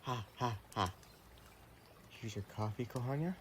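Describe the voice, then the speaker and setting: A man playing an affectionate, playful vampire boyfriend in an intimate roleplay audio, chatting in a gentle, teasing way. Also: mid-range voice, a little slow, a little hiss or hum, lightly acted. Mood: tender, caring.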